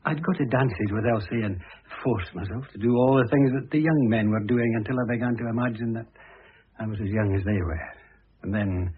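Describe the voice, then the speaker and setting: An actor playing an aging, wealthy husband in a classic radio drama, proud, plaintive and earnest. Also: male voice, lowish voice, brisk, natural voice. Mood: wistful, unhappy. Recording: muffled phone-like old radio recording.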